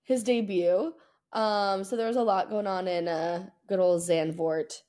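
A woman co-hosting a podcast, chatting casually about sports in an informal, slangy, excitable way. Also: medium pitch, measured pace. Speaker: podcast co-host.

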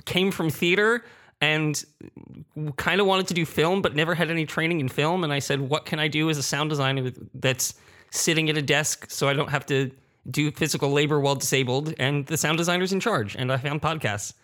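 A man with a fairly high-pitched voice talks in a relaxed roundtable discussion. He is candid and works out his thoughts as he goes, often rephrasing them. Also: fairly quick. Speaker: audio drama creator and sound designer, roundtable panelist.

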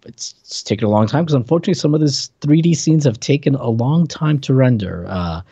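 A man's voice: medium pitch, lively intonation, fairly quick, dark tone, slightly rough, dry recording. A man co-hosting a podcast, chatting casually and wryly in a conversational game review style. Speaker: podcast host. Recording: dull, dry lo-fi mic sound.

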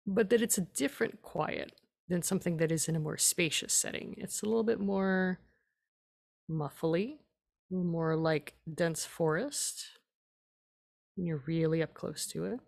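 Low, creaky-voiced woman guiding meditation. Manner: calm, unhurried, leaves long pauses. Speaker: guided tea meditation teacher. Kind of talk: guided meditation, slow and gentle instruction.

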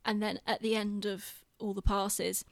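British female, mid-pitched, informal podcast chat, lightly self-deprecating, dry sound with little room. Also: flat delivery, a little slow, creaky, no room sound. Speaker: podcast host and theatre director.

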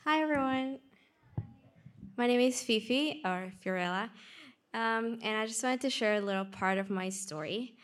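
A young woman from Peru with a Peruvian Spanish accent, telling her faith story in a lively way. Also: a little high, moderate pace, a little hiss or hum. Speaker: testimony speaker. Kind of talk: personal testimony.

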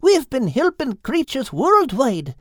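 A woman voicing an earnest small male bird who explains things slowly and patiently. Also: sits high, wildly swooping pitch, fairly bright tone, dry recording, studio quality, voice pushed up, full character voice.

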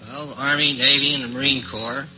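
A man's voice: mid-range voice, level tone, brisk, pushed and bright, soft background music. An American man giving plain-spoken, matter-of-fact answers as a contestant on a 1940s radio quiz. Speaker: war surplus store owner, quiz contestant. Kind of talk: unscripted radio interview answers. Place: live radio show. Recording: dull old 1940s radio recording.

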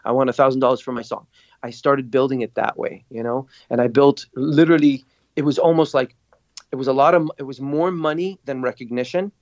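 A man from Lebanon tells his story as a podcast guest, lively and acting out what others said. His voice sounds dry and a little dull, with no room echo. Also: medium pitch, a little fast. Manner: animated storyteller, acts out quotes. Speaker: guest, singer and producer. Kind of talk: casual interview storytelling.

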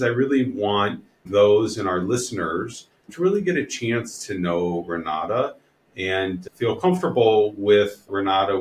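A man who is an attorney hosting a podcast, asking questions in a friendly, halting way with frequent restarts. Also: on the low side, reverberant, a little hiss or hum. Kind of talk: podcast interview.

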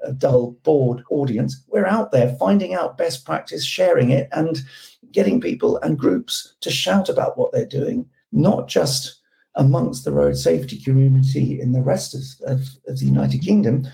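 A man with a hoarse, croaky voice from a cold, talking through a podcast discussion with lots of restarts and rhetorical questions. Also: a little high, normal speed, dark tone. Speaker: road safety campaigner guest.

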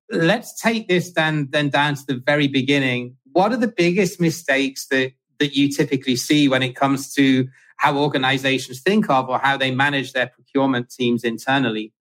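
A man's voice: mid-range voice, a bit bright, poor audio. A man hosting a livestreamed podcast interview, asking questions conversationally and often restarting his phrases. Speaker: podcast host.

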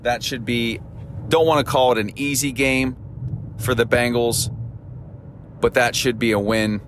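A man hosting a solo sports podcast, talking through upcoming games in an upbeat, chatty way, his voice temporarily husky. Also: medium pitch, steady tone, measured pace, a bit bright, slight background noise. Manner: upbeat, chatty analysis with a cheerful sign-off. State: voice temporarily husky and lower than his norm. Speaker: podcast host.

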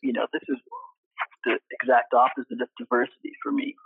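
Husky man on a phone-like line. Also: medium pitch, sing-song, no echo. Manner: halting, deliberate, repeats words as he thinks. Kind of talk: reflective conversation. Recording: thin, phone-like narrow band sound.

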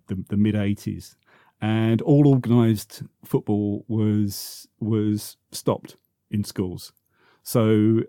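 A relaxed London man in his fifties talks as an interview guest, in a fairly low and mellow voice. He rambles through his memories, often stopping to restart a thought. The sound is dry, with little room on it. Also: no echo. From South London, England. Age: 50s. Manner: hesitant, rambling, self-correcting. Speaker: interview guest, football podcaster. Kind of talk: casual reminiscing interview.

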